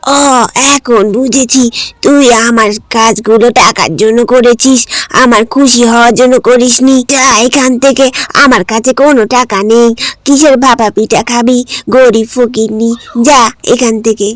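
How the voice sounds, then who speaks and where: A woman's voice: fairly high pitch, steady tone, moderate pace, dry recording, lightly acted. A woman voicing a grumpy, stingy old village woman in a cartoon, with a bossy, dismissive manner. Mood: scornful.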